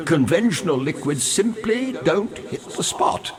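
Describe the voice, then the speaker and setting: A man's voice: a little high, sing-song, measured pace, a crowd in the background. An actor playing a grandiose, roguish undead sea captain in a video game, florid and pompous, wry and morbidly cheerful as he savors his words. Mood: sly, wry. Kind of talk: theatrical video game character dialogue.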